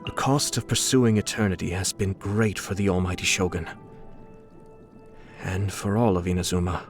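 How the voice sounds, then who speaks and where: Suave young noble clan head, low voice, polished formal diction, coolly shrewd, video game dialogue, music underneath. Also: male voice, fairly even intonation, moderate pace. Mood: composed, earnest. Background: background music.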